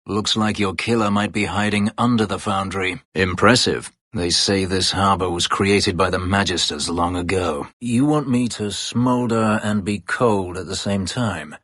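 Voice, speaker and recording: Brooding escaped-slave elf fighter for fantasy RPG: deep, raspy, terse, formal, sardonic edge, dry studio sound. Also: male voice, normal speed, hardly any pauses, a bit bright, no room sound. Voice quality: husky, gravelly low voice. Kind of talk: dramatic fantasy game voice acting.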